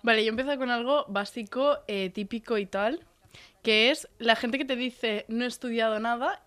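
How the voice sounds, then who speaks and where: A woman's voice: fairly high pitch, moderate pace, fairly bright tone. A young woman from Andalusia co-hosting a podcast, chatting casually with dry, wry, brief replies. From Andalusia, Spain. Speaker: podcast co-host. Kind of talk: casual banter.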